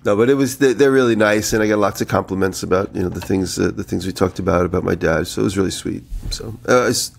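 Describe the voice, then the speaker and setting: A man with a low, creaky voice co-hosts a casual podcast. He sounds a little nasal from a head cold. His talk is conversational and wanders through anecdotes with a gravelly edge. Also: fast talker, few pauses, a little hiss or hum. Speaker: podcast co-host. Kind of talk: casual chat, rambling anecdotes.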